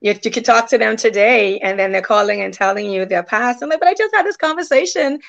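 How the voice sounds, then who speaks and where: A woman's voice: on the high side, animated, fast talker, gravelly. A woman speaking earnestly as a guest in a conversational online panel, on dull lo-fi call audio.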